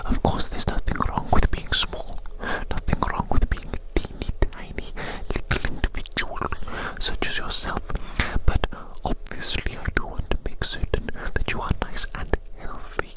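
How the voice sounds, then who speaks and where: A breathy whisper carries this ASMR roleplay from start to finish, with no voiced tone. The speaker plays an examiner, soothing and deliberate, often saying short phrases twice over. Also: rough recording. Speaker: ASMR performer playing an examiner taking measurements.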